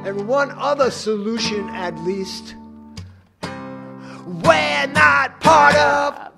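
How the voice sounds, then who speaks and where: A man's voice: very high voice, animated, drawn-out words, creaky. A man performing a poem as a song at a live tribute, with guitar music.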